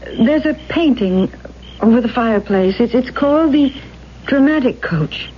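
A woman's voice: fairly high pitch, animated, unhurried. A woman playing an earnest, romantic young aspiring actress in a radio drama, with hesitant, heartfelt phrasing. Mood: charmed, curious. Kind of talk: 1970s radio drama acting. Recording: muffled, noisy 1970s radio recording.

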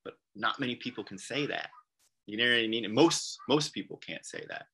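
A man's voice: mid-range voice, sing-song, a little fast, creaky, sharp tone, breathy edge, low-quality recording. A man talking as an interview guest in loose casual conversation, rambling and often repeating "you know what I mean.". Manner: quotes people in acted voices.

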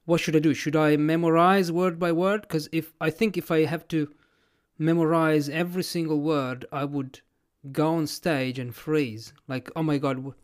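A man hosting an interview, relaxed and joking as he asks short questions. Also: fairly high pitch, normal speed. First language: Italian. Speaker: host, language teacher. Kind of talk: casual interview.

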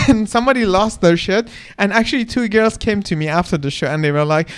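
A man's voice: sits high, fairly quick, clear, resonant tone. A man from Iran chatting casually as a comedian and podcast co-host, telling self-deprecating anecdotes. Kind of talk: casual chat with comic anecdotes. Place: comedy club.

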